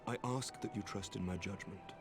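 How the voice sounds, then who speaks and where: An actor playing a solemn, earnest lord in a fantasy video game, speaking in a formal, measured way. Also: male voice, fairly low pitch, close to an everyday voice. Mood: solemn, hushed. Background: loud game music.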